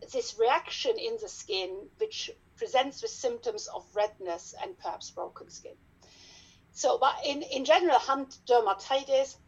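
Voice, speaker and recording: A woman's voice: on the high side, breathy edge, poor audio. German-accented dermatologist, middle-aged woman, careful step-by-step explainer with a mid-range voice. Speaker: dermatologist, guest expert. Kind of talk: explanatory podcast interview.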